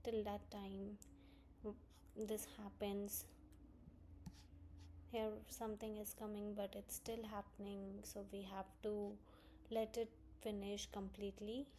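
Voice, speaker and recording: Woman, flat intonation, mellow muted voice, tutorial narration, step-by-step halting phrasing. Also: medium pitch, slight background noise, low-quality recording. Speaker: presenter of a software tutorial. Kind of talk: informal screen-walkthrough tutorial narration.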